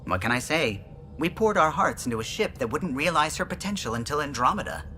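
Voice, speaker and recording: A man's voice: on the high side, sing-song, brisk, pushed and bright. An actor playing a male alien starship pilot in a video game, chatty, precise and fond of reminiscing. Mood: fond, proud. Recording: music behind the voice.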